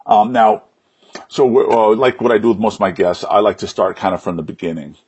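A man with a deep, husky voice hosts a live interview. He phrases things informally and a little haltingly, in the casual style of an online show host. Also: darkish tone.

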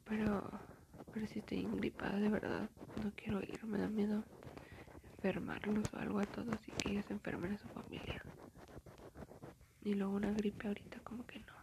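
A woman's voice: mid-range voice, flat delivery, moderate pace, background noise. A young woman, whispering a chatty, gossipy ASMR storytime full of fillers. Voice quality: whispering, breathy.

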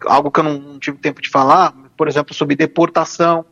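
Higher-voiced law professor, lo-fi sound. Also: male voice, level tone, quick pace, pushed and bright. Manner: informal, hesitant restarts. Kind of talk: casual radio chat.

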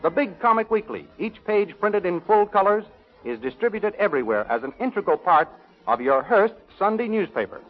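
A man announcing and narrating a 1930s radio serial in a crisp, formal way. The old recording sounds dull. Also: high-pitched, sing-song, moderate pace, over music.

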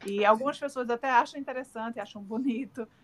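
A woman's voice: fairly high pitch, brisk, a little hiss or hum. A middle-aged woman with a Northeastern Brazilian accent, answering interview questions in a hesitant, filler-filled way with many restarts. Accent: Northeastern Brazilian Portuguese. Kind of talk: casual remote interview.

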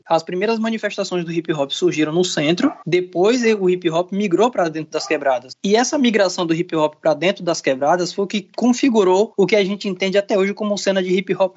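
Bahian guy talking on a podcast, kinda high voice, muffled lo-fi sound, takes his time explaining. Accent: Bahian Portuguese. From Salvador, Bahia, Brazil. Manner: explanatory, unhurried, with fillers and restarts. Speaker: podcast guest, hip hop writer. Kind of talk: casual podcast talk.

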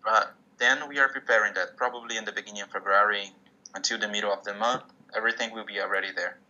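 Casting: bright, sharp-toned male musician, Portuguese first language, careful halting English, dull lo-fi video call sound. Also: lowish voice, fairly even intonation. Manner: hesitant, restarts often, searching for English words. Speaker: musician guest. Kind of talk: interview conversation.

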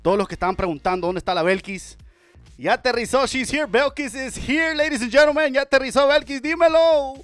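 A man hosting a casual Spanish-language radio podcast, talking with energetic, repetitive, playful hype. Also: extremely high pitch, fairly quick, dry recording, soft background music. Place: home studio in Las Vegas.

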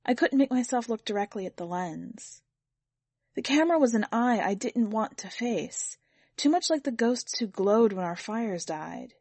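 A woman's voice: fairly high pitch, expressive, moderate pace, a little mellow, no echo. A woman narrating a first-person literary short story from a script. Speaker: podcast editor and story reader.

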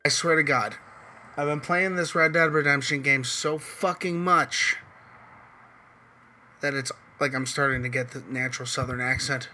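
A hoarse man hosting a podcast in loose, rambling casual chat, swearing now and then. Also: on the high side, moderate pace, sharp tone, faint music behind. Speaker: podcast host.

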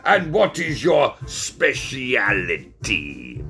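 A man voicing a bombastic, quick-tempered storybook circus ringmaster, a grandiose, bellowing villain. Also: mid-range voice, huge pitch swings, gravelly, pushed and bright, faint music behind, voice pushed up, full character voice. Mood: grandly welcoming.

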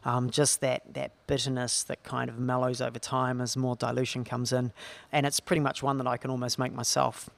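New Zealand man with a gravelly voice. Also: mid-range voice, level tone, a little fast, no echo. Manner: chatty, explains at length with "so" and "I guess". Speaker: distillery co-founder. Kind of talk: relaxed interview talk.

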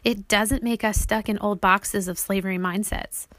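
A woman's voice: medium pitch, fairly even intonation, moderate pace, a bit bright, no echo. A woman reading an audiobook as its author, explaining things simply, as if to young children.

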